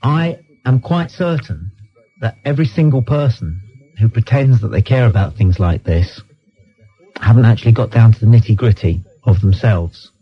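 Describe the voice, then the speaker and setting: A man's voice: fairly low pitch, expressive, moderate pace, breathy edge, soft background music. A British man hosting a late-night phone-in, arguing in a blunt, dismissive way and insistently repeating himself. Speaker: radio phone-in host. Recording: dull lo-fi radio recording.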